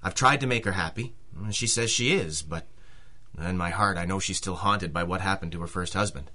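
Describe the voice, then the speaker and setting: A big, simple working man with a low, firm, forceful voice. He speaks plainly and courteously, halting and bashful, in an earnest old-time radio drama style. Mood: troubled, earnest.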